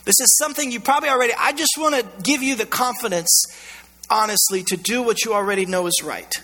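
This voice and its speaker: High, scratchy-voiced American preacher man in a hall. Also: quick pace, pushed and bright. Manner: emphatic, colloquial, repeats phrases for emphasis. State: scratchy, strained voice going hoarse. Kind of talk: sermon. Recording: long hall reverb.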